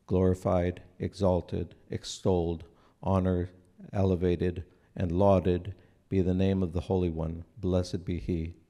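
A man in his forties, raised in Israel, giving an earnest, unhurried talk into a stand microphone on a church stage, often restarting his phrases. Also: sits low, warm tone. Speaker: speaker at a church breakfast. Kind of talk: sermon-like talk to an audience.

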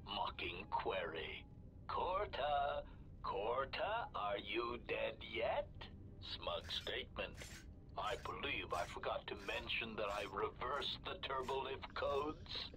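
A man voicing a smug, malicious assassin droid that taunts in a deadpan, announcing manner in a video game. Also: mid-range voice, slow pace, low-quality recording. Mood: smug mocking. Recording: game audio under loud music.

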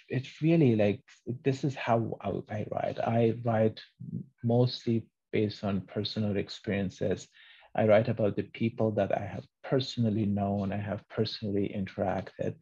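A middle-aged man from Afghanistan whose first language is Farsi speaks softly and haltingly, in a low, husky, mellow voice. He answers interview questions reflectively over a video call. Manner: soft-spoken, halting. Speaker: interview guest, children's author. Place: small book-lined room at home. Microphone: video call, computer microphone. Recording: muffled, band-limited video call audio.

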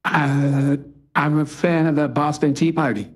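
Husky, higher-voiced hesitant man. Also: steady tone, unhurried. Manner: hesitant, terse. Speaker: guest being questioned in a game. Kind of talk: casual, halting answers.

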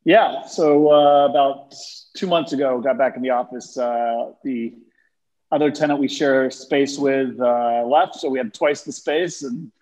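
A man in his forties who grew up speaking Turkish and English, talking in a relaxed, chatty way with many fillers on a video call from his office. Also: medium pitch, unhurried. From born in London, raised in Turkey and Texas. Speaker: guest, fund manager. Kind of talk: casual video-call interview. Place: office with high windows, indoors. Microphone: webcam on a video call. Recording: dull lo-fi video call audio.

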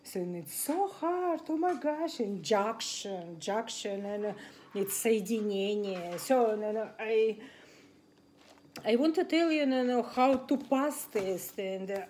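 Russian-accented woman chatting, slow and halting. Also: on the high side, slight background noise. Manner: halting, many fillers and restarts, animated hand gestures. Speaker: massage student sharing exam tips. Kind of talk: casual talking-head vlog. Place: indoors at a table in a home room.

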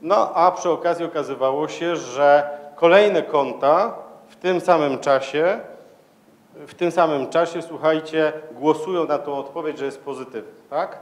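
A man's voice: fairly high pitch, a little slow. A man gives an informal, explanatory conference talk in a conversational, somewhat hesitant way, speaking into a handheld microphone in a large lecture hall. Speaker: conference speaker, security manager.

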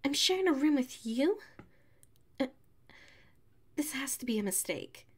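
A woman voicing a prickly, haughty young tsundere classmate with a hidden soft side, in an ASMR audio roleplay. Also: high-pitched, expressive. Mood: indignant, huffy. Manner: haughty, huffy, sighing, drawn-out phrasing.

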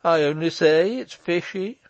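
Man playing a loud, brash, teasing lawyer. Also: on the high side, unhurried, no room sound, dull audio, pitched above the performer's own voice, lightly acted. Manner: forceful, jaunty, mock-pompous. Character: brash, teasing, self-assured lawyer cousin. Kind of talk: audiobook character voice.

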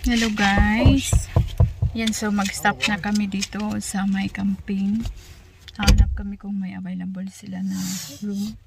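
A woman's voice: medium pitch, flat delivery, measured pace, vocal fry, very noisy. A woman vlogging a family road trip in a chatty mix of English and Tagalog, outdoors at a campsite. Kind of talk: casual travel vlog narration.

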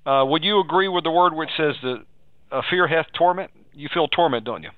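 A man's voice: on the high side, brisk, no echo. A man preaching and counselling live on the radio, urging and exhorting, repeating phrases and asking leading questions. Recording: tinny phone-like radio audio.

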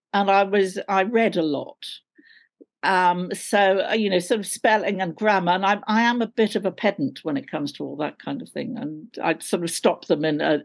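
An older woman chatting casually as an interview guest on a video call, with a wry, self-deprecating manner. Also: mid-range voice. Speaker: guest, actor.

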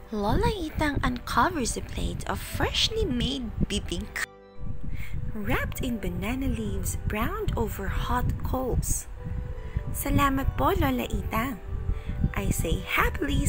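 A woman reading a children's picture book aloud in an expressive, deliberate storytelling manner. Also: a little high, poor audio. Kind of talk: expressive read-aloud narration. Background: music under the voice.

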